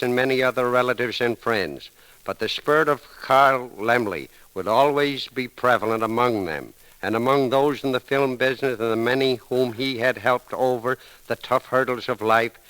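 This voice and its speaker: An American man reads a scripted memorial tribute for 1930s radio. His delivery is formal and solemn, at a middling pitch. The old broadcast recording is dry, with very little room around the voice. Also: fairly bright tone, clean tone, dry recording, poor audio. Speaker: radio eulogist.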